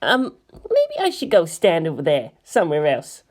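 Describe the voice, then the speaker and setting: A woman's voice: low-pitched, wildly swooping pitch, moderate pace, a little mellow, full character voice. Woman playing a nervous geeky teenage schoolboy. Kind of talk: fan fiction reading.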